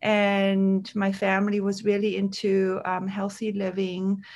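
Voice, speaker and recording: A woman from Austria, telling her story as a guest in an unhurried, halting way, with many fillers and restarts. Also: medium pitch, monotone, a little mellow. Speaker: makeup artist guest. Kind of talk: conversational storytelling.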